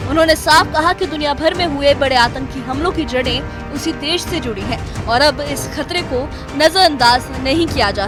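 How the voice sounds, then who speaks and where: A woman reading a scripted news report as a reporter. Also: high voice. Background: background music bed.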